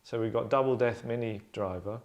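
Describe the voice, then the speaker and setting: A man in his thirties with a medium-pitched voice chats casually as host of a group discussion. His delivery is deadpan and meandering, as if thinking aloud about his own writing. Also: brisk. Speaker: writer and host. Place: furnished indoor lounge.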